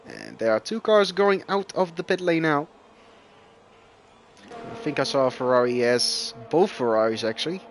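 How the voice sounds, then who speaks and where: Excitable Dutch man calling sim racing. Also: fairly high pitch, normal speed, slight background noise. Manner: excitable, rising loud bursts at crashes. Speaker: esports race commentator. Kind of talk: live sim racing commentary.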